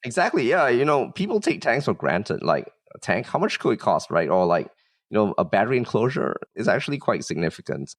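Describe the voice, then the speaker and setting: A man's voice: lowish voice, sing-song, a little fast, dry recording, clean studio sound. A man from Singapore explaining things casually and modestly as a podcast guest, with frequent conversational filler words. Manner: modest, explanatory, with frequent "like" and "you know" hedging. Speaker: startup founder, guest.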